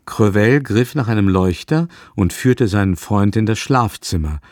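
A man's voice: fairly low pitch, expressive, a little slow, a little mellow, dry recording, studio quality. A man narrating a literary radio drama from a script, as a storyteller.

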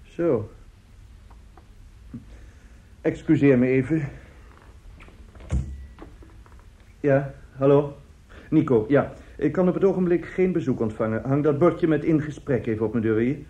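A man's voice: medium pitch, normal speed, warm tone, lightly acted. An actor in a Dutch radio play as an older, fatherly newspaperman, chatty and self-satisfied, repeating his words. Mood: shaken, sober, then businesslike. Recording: muffled old radio play recording.

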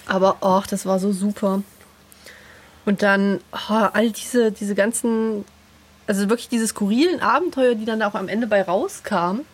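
A woman's voice: medium pitch, moderate pace, breathy edge. A woman chatting casually and nostalgically in a group, with an enthusiastic, gushing manner. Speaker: podcast co-host.

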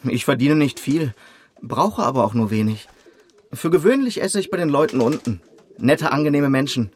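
An actor playing a good-natured, hopeful young clerk of small means, speaking earnestly and ingenuously in a radio play. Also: male voice, mid-range voice, expressive, normal speed, a little hiss or hum, close to an everyday voice. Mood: modest, hopeful.

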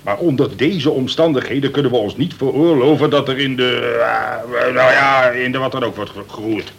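A worried colonel who runs a prison in a radio drama. He is officious and blustering, prone to exasperated swearing, with a voice of medium pitch. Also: moderate pace, gravelly, a bit bright, slightly rough. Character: male prison governor, senior colonel, worried, blustering, cautious. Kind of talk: Dutch radio play acting.

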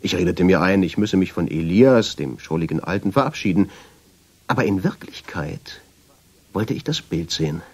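An actor playing a reflective traveller who tells his story in measured, deliberate radio drama narration, with a husky voice. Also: lively intonation, darkish tone. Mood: brooding, fascinated. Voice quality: low, husky voice. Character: reflective male traveller, drawn and obsessive, telling his story. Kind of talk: literary radio drama narration in first person.

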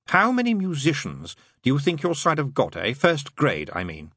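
Storybook demon, male, read by a male narrator: glum, sardonic persuader, husky, colloquial and needling. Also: medium pitch, huge pitch swings, moderate pace, fairly bright tone, no room sound, clean studio sound, pitched above the performer's own voice, exaggerated voice. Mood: urgent, desperate. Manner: colloquial, needling, emphatic lists.

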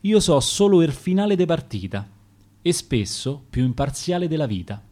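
A man's voice: on the high side, sing-song, moderate pace, mellow, voice pushed up. A man with a Roman accent voicing Death as a blunt, indifferent, foul-mouthed figure in a recited verse tale. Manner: crude, shrugging, matter-of-fact.

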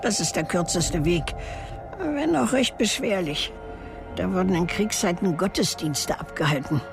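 A gruff old local in a radio play, his voice fairly high for a man. He talks colloquially and patronizingly, like someone haunted by the past, with music playing clearly behind him. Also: animated, measured pace, full character voice. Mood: grave. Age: older. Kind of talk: naturalistic radio drama acting.

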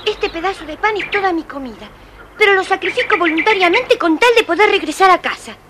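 A resourceful little boy with a very high, childlike voice. He speaks earnestly and protectively, on an old storybook recording with clear background noise. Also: animated, a little fast. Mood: determined. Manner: earnest, reassuring. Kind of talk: dramatized children's audio storybook acting.

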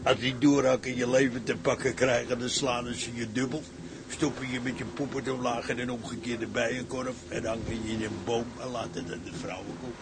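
Ship's cook, male actor: salty man relishing grisly tales, slangy, mid pitch, radio drama, clear ambient noise. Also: level tone, a little fast, lightly acted. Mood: relishing, ominous. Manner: colourful, slangy storytelling. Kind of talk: Dutch radio drama acting. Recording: clear background noise, outdoor ship ambience.

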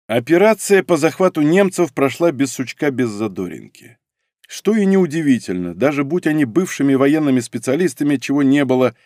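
A man reading a first-person audiobook in a measured, wry way. His voice sits in the middle of the range. The recording is dry and close, with no room echo. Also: medium pitch, a little mellow. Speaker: narrator. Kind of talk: audiobook narration.

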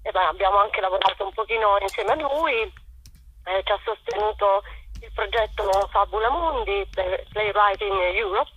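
Husky woman on a tinny phone line. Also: medium pitch, normal speed, a bit bright. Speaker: theater author and actress, interview guest. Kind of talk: spontaneous interview talk. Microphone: telephone call. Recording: tinny, muffled telephone line with echo.

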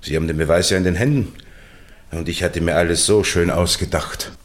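A man performs an exposed, resigned villain in an old radio crime play. His voice is low, and the recording is very noisy with clicks. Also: a little fast, natural voice. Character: resigned male villain, unmasked gossip reporter. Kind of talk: 1960s German radio crime drama acting.

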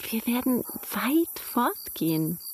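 A woman's voice: fairly high pitch, sing-song, slow pace, slight background noise, full character voice. An actress in a radio drama playing a headstrong young woman in love who talks in a direct, challenging way. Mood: defiant, intimate.

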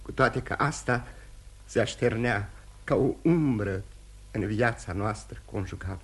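An actor playing a remorseful, courteous, dissolute gentleman husband in theatrical radio drama style, candid and halting with repetitions. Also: lowish voice, husky. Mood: earnest, candid. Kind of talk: mid-century Romanian radio theatre acting.

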